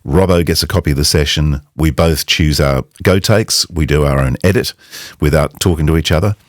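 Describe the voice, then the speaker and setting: Male, 60s, voiceover pro with an extremely low voice, plain-spoken and informal, dry close studio sound. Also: brisk, dry recording. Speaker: voiceover artist and demo coach. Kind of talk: conversational explainer to camera.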